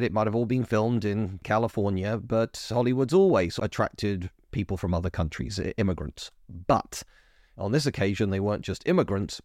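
Chatty man, low dry voice. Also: a little mellow, dry recording. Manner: chatty, self-interrupting, emphatic. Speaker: podcast host. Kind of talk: casual history chat.

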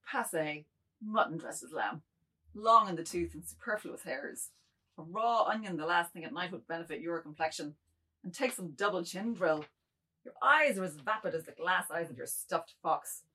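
Middle-aged male role read by a woman: husky, lowish, wordy, swinging between abasement and defiance, theatrical. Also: animated, moderate pace, bright, forceful tone, heavily acted, poor audio. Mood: cold, scornful. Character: self-abasing middle-aged man, guilty, wordy, then defiant. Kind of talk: dramatized reading of a modernist novel.

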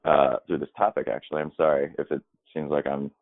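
A man with a very low, deep voice talks through his career in a reflective, meandering way, circling back and restarting as he thinks. The sound is thin and phone-like, with no room echo at all. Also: flat delivery, fast, gravelly. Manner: thoughtful and rambling, with many restarts and fillers. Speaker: musical theater performer. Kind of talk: reflective interview answers. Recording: dry, tinny phone-like line.

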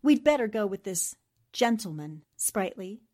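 A woman's voice: mid-range voice, big pitch swings, a little slow, a little mellow. An actress playing a brave, serious American newspaperwoman in a 1940s radio drama, earnest and resolute in manner. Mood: alarmed.

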